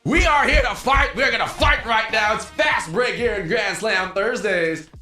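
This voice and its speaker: A man hosting a casual sports talk show, lively and hyping up segments. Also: high-pitched, measured pace, faint music behind. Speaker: host. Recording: echoey studio.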